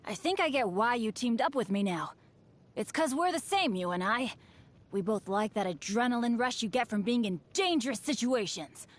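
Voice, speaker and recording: A woman's voice: a little high, expressive, moderate pace, close to an everyday voice. A woman voicing an upbeat but unlucky teenage boy adventurer in a video game, with an earnest, chatty, boyish delivery. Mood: earnest, hopeful. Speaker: game character voice actor. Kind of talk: scripted game character voice lines.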